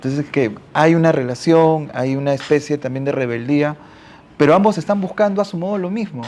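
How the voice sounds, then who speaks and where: Reflective Peruvian man with a raised pitch. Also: brisk, darkish tone. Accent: Peruvian Spanish. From Ica, Peru. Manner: thinks aloud, adds frequent questioning tags. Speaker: panelist at a literary talk. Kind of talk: reflective panel talk on literature.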